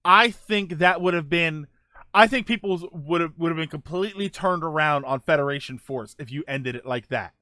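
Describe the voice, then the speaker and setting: A man hosting a livestream, chatting casually with viewers in a halting way, often restarting his phrases. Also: on the high side, pushed and bright, no room sound, studio quality. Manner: halting, repeats phrase openings. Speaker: livestream host. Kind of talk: casual chat with live viewers, gaming and film talk.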